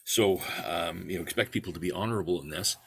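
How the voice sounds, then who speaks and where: Deep, bright, husky man. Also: quick pace. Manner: rambling, frequent restarts. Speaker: award creator, club volunteer. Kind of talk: online meeting answer.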